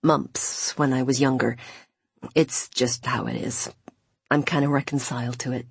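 Casting: female narrator as friendly young-love male lead, low and husky, casual and sincere. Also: steady tone, moderate pace, no room sound, heavily acted. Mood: wistful, subdued. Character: friendly American man, wistful, playful, newly smitten.

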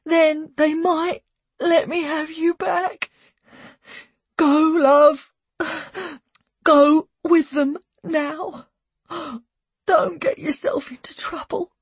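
A woman playing a desperate mother in an audiobook, pleading with her young son in a halting, sobbing, breathy voice. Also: high voice, animated, no echo, voice pushed up. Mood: tearful, pleading.

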